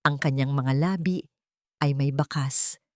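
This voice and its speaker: A woman's voice: rumbling low, unhurried, darkish tone, no room sound, clean studio sound. A woman audiobook narrator reading a scripted dramatic story.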